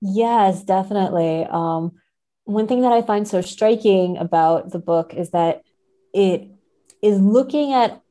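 A woman's voice: mid-range voice, measured pace, dark tone. A woman guest on a podcast, explaining literature analytically while searching for her words.

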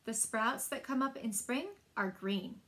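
A woman's voice: fairly high pitch, unhurried, a bit bright. A woman in her thirties presenting a children's story time and explaining things simply, recorded in a room at home a short way from the mic. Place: small indoor room at home.

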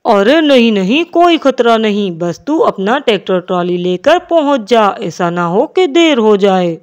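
A woman's voice: medium pitch, big pitch swings, measured pace. A sly cartoon crow voiced by a woman, a male swindler who acts humble. He wheedles and schemes his way through his lines. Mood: scheming.

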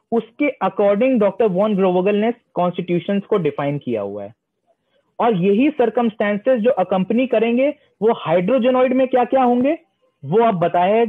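A young man teaching homeopathy, reading English passages slowly and then explaining them, often answering his own questions. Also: high voice. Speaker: homeopathy lecturer. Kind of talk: online lecture mixing Hindi and English. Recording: tinny phone-line sound.

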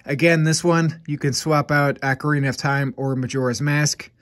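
A man's voice: a little high, fairly even intonation, fairly bright tone, no echo, clean studio sound. A man hosting a gaming channel, chatting casually while he recommends games, sounding a little stuffed up from congestion and keeping an upbeat, chatty manner. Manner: upbeat, chatty, quick asides. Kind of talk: casual game recommendations to camera. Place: room with game shelves, indoors.

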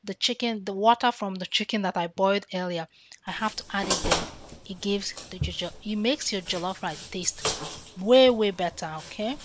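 A woman's voice: medium pitch, normal speed, a little hiss or hum. A Nigerian woman vlogging as she cooks, chatting to her viewers and repeating words for emphasis. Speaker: cooking vlogger. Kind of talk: casual cook-along chat.

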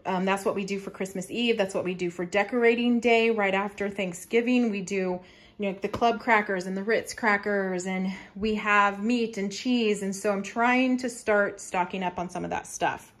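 Chatty mom showing a grocery haul. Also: female voice, mid-range voice, fairly even intonation, normal speed. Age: middle-aged. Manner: chatty, homey, explains family meal plans. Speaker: family lifestyle vlogger. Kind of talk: casual grocery haul show-and-tell.

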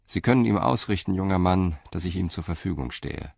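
A man voicing a detached, coolly condescending professor in a crime story, quiet and impassive. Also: low-pitched, lively intonation, a little fast, gravelly, no echo, studio quality, full character voice. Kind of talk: audiobook-style character voice in radio crime drama.

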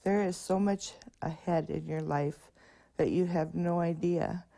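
An older woman speaks warmly and from the heart. Her voice sits low and mellow, with a gravelly fry running through it. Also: fairly even intonation, measured pace. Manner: warm, heartfelt, cheerful greetings to kids. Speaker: crossing guard and rideshare driver. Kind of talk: interview soundbites. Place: home interiors, street corner, car.

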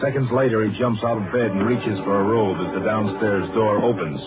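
A man's voice: lowish voice, fairly even intonation, a little fast. Male narrator, scripted 1950s radio storytelling, thin narrow sound with music behind. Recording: tinny old radio recording. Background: clear music.

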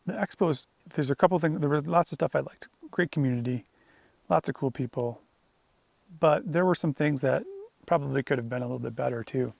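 A man in his forties talking casually to a camera held at arm's length at an outdoor campsite, relaxed and rambling with fillers and restarts. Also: medium pitch, a little fast, creaky, no echo. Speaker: vlogger. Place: outdoor campsite among pine trees.

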